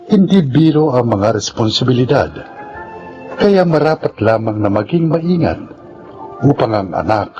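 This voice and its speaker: A man's voice: mid-range voice, big pitch swings, unhurried, dull audio. A man hosting a radio drama, delivering scripted, dramatic introductory narration. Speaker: host introducing drama episodes. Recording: narration over soft music.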